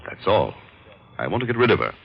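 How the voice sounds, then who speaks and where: Rich fussy art snob husband, super deep voice, old radio show, faint noise. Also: male voice, big pitch swings, fast talker, vocal fry, full character voice. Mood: indignant. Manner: pompous, fluttery. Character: rich, fussy art collector, self-important unhappy husband. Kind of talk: old-time radio detective drama acting. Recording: dull, lightly noisy old radio recording.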